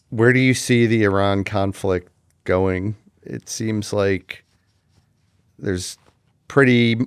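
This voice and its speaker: A man's voice: fairly low pitch, clear, resonant tone, no room sound, studio quality. A man hosting an interview, asking questions in an easygoing, affirming manner. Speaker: interview host. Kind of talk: conversational interview.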